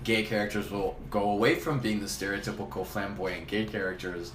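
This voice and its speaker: A man co-hosting a casual podcast with friends, expressive and emphatic, swearing casually. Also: on the low side, a little fast. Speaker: podcast co-host. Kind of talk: casual chat among friends. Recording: echoey room with faint ambient noise.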